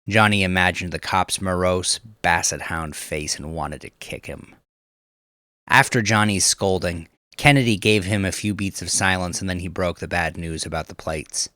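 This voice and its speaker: Very deep-voiced male crime narrator. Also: pushed and bright, no room sound, studio quality. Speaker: audiobook narrator. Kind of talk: scripted narration of crime fiction.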